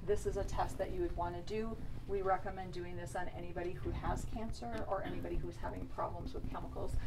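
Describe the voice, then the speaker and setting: A woman presents an informal health seminar to a seated audience, explaining terms patiently and pausing to check that people follow. She is recorded from across the room, so her voice sounds distant. A steady noise sits under everything. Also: medium pitch, creaky. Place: meeting room with a live audience.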